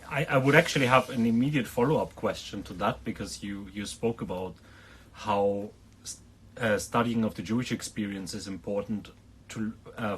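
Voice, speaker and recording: A man's voice: fairly low pitch, a bit bright, slight background noise, low-quality recording. A man interviewing an academic guest, asking long, carefully built questions.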